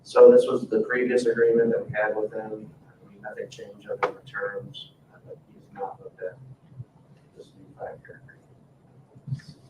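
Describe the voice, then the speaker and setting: A man presenting agenda items informally at a council meeting, in a halting, matter-of-fact way. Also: on the low side, flat delivery, lo-fi sound. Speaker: town staff member presenting agenda items. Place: indoor town council meeting room. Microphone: distant room microphone. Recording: echoey meeting room, distant mic, faint noise.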